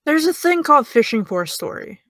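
A woman's voice: sits high, sing-song, a little fast, a bit bright, dry recording, studio quality. A young actress playing a sarcastic young woman who teases and needles in an audio drama. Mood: needling. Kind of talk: audio drama dialogue of a video call.

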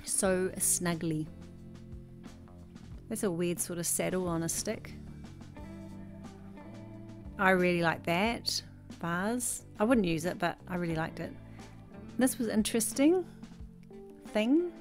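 A woman's voice: fairly low pitch, animated, slow pace, pauses often. A woman in her thirties, a chatty vlogger talking over her shopping footage from her bedroom, gushing and rambling. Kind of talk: casual voice-over chat. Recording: music faintly behind.

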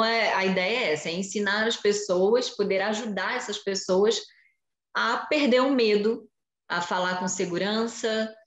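A woman in her forties, a journalist guest, explaining in a lively way during a video-call interview from home. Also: mid-range voice. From Brazil. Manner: animated, explanatory, gestures while talking. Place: home room with shelves. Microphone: video call webcam. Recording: echoey room on video call.

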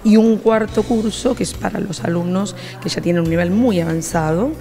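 A middle-aged woman with an Argentine accent, a program coordinator explaining the course in an interview, measured and pausing on "eh". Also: medium pitch, mellow, faint music behind. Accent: Argentine Spanish. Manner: measured, with frequent "eh" fillers. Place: classroom with students behind. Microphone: handheld reporter mic.

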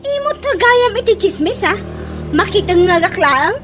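A woman's voice: very high-pitched, big pitch swings, moderate pace, noisy background. A woman playing a nagging, exasperated wife in an Ilocano radio comedy drama, with exclamatory delivery and an Ilocano accent. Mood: scolding. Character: scolding, exasperated wife and gossiping neighbour woman.

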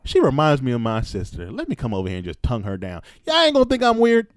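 Animated man bantering, lively pitch swings. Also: quick pace, dry recording, studio quality. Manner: animated, crude joking, repeats phrases for emphasis. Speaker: podcast host. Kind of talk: casual group banter. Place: small room at home, around a table. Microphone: close podcast microphone.

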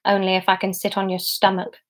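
A woman acts out a sulky devil from a comic storybook. It is petulant and needling, a snappish complainer with a mid-pitched voice. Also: monotone, fairly quick, a little hiss or hum, close to an everyday voice. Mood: churlish.

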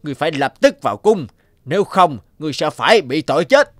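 A haughty, threatening royal messenger. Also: male voice, on the high side, big pitch swings, fast, no room sound, studio quality. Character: stern, bullying royal messenger, officious man. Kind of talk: animated moral tale dubbing. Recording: music behind the dialogue.

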